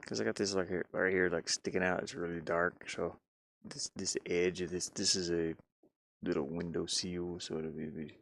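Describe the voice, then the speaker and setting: A man giving casual, halting live commentary as he works on game art, muttering and thinking aloud. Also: deep voice, little pitch movement, vocal fry, fairly bright tone. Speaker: streamer and hobby game designer.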